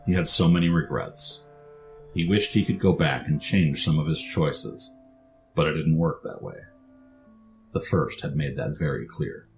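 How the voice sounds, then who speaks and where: A man's voice: a bass voice, measured pace, soft background music. A man reading scripted story narration as the narrator.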